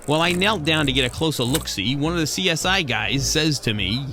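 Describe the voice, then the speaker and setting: A man's voice: a little high, lively intonation, brisk, music in the background, natural voice. A man playing a hard-boiled penguin private eye, narrating a noir radio drama in wisecracking slang. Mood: world-weary.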